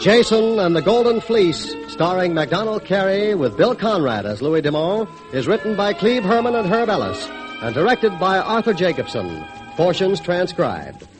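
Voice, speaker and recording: High-pitched vintage radio announcer, man. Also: sing-song, moderate pace, rough recording. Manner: formal, projected delivery. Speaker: announcer reading closing credits. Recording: vintage radio broadcast with music.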